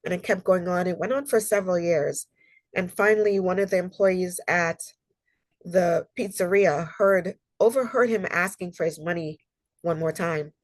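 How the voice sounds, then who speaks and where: A woman's voice: fairly low pitch, moderate pace, dry recording. A woman who is a human rights consultant gives an online library presentation while getting over a cold, which leaves her a little nasal. She talks earnestly and conversationally, with frequent ums and personal stories. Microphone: video call.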